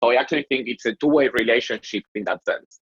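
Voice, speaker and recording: A man from Argentina with an Argentine Spanish accent, a guest explaining his work in a relaxed podcast interview. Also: medium pitch, fast, slightly rough, muffled recording. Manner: relaxed, self-explaining, frequent "um" and "so". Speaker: guest, marketing executive.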